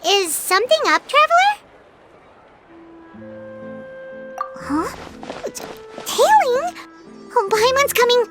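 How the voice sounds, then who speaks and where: A woman voicing a tiny, bubbly childlike fairy companion in an anime-style video game, squeaky and perky. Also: big pitch swings, measured pace, full character voice. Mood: surprised. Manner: perky, refers to self in third person. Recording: loud background music.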